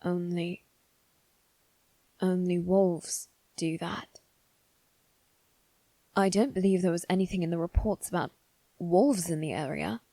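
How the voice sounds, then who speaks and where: A woman voicing a haughty, anxious young noble boy in a halting, formal monologue for dramatic ASMR roleplay. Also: lowish voice, fairly even intonation, warm tone, dry recording. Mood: hushed, fearful. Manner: halting, formal, self-reassuring delivery.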